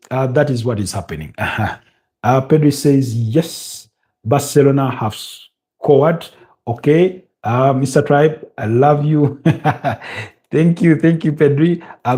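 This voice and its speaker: Man, Ugandan accent, livestream host, mid-pitched, mellow tone, casual, lots of ums and uhs. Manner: chatty, unhurried, many filler sounds while reading comments. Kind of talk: casual live commentary reading chat comments.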